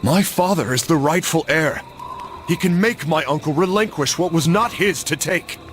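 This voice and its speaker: A man's voice: on the high side, normal speed, fairly bright tone, slight background noise, lightly acted. An actor playing an earnest, stubborn young crusader nobleman in a video game, serious and resolute. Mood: determined. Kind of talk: video game cutscene acting.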